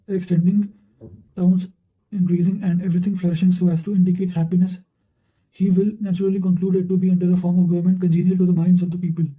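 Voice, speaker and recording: A man's voice: high voice, narrow, tinny audio. A man reading historical quotations aloud from a script in a formal, bookish manner, occasionally stumbling.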